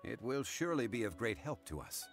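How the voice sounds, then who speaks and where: An actor playing a composed, scholarly gentleman consultant in an anime game, speaking formally and with measured gravity. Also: medium pitch, big pitch swings, faint music behind. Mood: courteous, calm.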